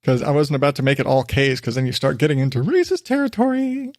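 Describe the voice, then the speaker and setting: A man's voice: mid-range voice, animated, fast, darkish tone, no room sound, studio quality. A man hosting a rambling solo podcast, chatty and self-deprecating, drifting from one digression to the next. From western United States. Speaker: podcast host. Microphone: studio microphone.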